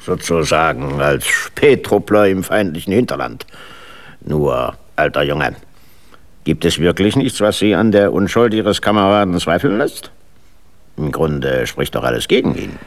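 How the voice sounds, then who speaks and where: An actor playing a hearty retired British major in a German radio play, blustering in brisk military jargon. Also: male voice, low voice, expressive. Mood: sceptical. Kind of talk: German radio drama acting.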